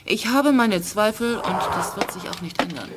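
A woman's voice: mid-range voice, normal speed, over loud music, lightly acted. An actress playing a proud, sceptical alien woman in a radio drama, cool, pointed and challenging. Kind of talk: 1980s German radio drama acting. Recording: faint background noise.

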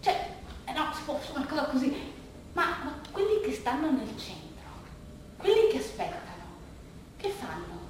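An actress playing an agitated, rambling woman in a bittersweet theatre monologue, explaining in a halting, repetitive, animated way to her audience. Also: high-pitched. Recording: roomy, distant stage recording, faint noise.

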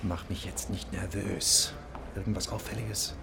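An actor playing a dry, stubborn undercover police detective in a crime radio drama, laconic and sardonic. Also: male voice, low voice, measured pace, creaky, full character voice. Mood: guarded, impatient. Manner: laconic, sardonic, deadpan wording. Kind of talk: naturalistic German crime radio drama acting. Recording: faint background noise.

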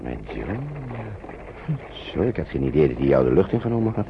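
Gentle older father, radio play. Creaky, soothing, repeats himself; dull, vintage recording. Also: very deep voice, sing-song, heavily acted. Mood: thoughtful. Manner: halting, repeats words, soothing. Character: gentle older father shepherding children through wartime France. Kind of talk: old Dutch radio drama acting. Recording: muffled, noisy old radio drama recording.

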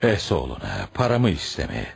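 An actor in a Turkish radio play as a stubborn, pious older village hodja and father, speaking emphatically and deliberately in proverbs. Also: rumbling low, wildly swooping pitch, creaky, breathy edge, soft background music, heavily acted. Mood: stern. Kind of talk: Turkish radio theatre acting.

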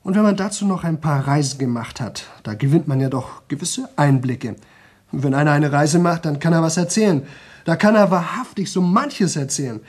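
A man's voice: a little high, lively intonation, moderate pace, slightly rough. An actor playing a young man in a radio drama who talks nonstop for a record, rambling, repeating himself and slipping into singsong. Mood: chatty, self-satisfied. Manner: rambling, repetitive, chatty, drifting into singsong. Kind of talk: 1970 German radio play monologue.

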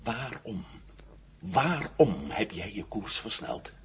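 A man's voice: on the low side, big pitch swings, slow pace, breathy edge, exaggerated voice. An actor playing a cocky, determined hero questioning his captive in a 1970s radio drama, wry and commanding. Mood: probing. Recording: muffled, noisy old radio drama recording.

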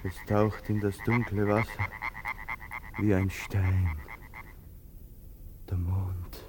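An actor, playing a haunted, agitated young murderer in a 1950s radio drama, muttering in repeated fragments. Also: male voice, sits low, flat delivery, drawn-out words, noisy background. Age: 20s. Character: haunted, feverish young soldier turned murderer.

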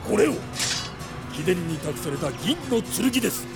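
A stalwart senior paladin in a fantasy audio drama. He projects in a very high, swinging voice over loud music, formal and commanding. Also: very animated, unhurried. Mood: formal, respectful. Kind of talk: Japanese fantasy drama CD acting.

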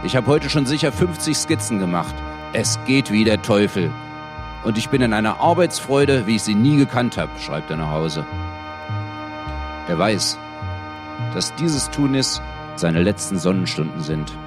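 A man's voice: lowish voice, sing-song, a little slow, long pauses, music in the background. A man reading scripted literary narration as the narrator of an audio drama.

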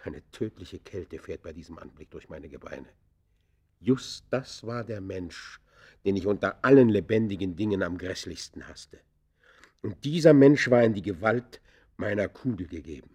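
An actor playing an embittered outlaw poacher, confessing in the theatrical, literary manner of a classic German radio play. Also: male voice, deep voice, animated, moderate pace, a little mellow, breathy edge. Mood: tense, haunted.

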